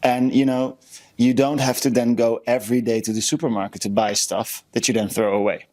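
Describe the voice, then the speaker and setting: A man with a Dutch accent speaks as a podcast co-host in a casual, conversational way. He hands out practical advice emphatically, often repeating words to drive a point home. His pitch sits in an ordinary middle range for a man. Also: little pitch movement, brisk, darkish tone. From Netherlands. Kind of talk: casual chat giving tips.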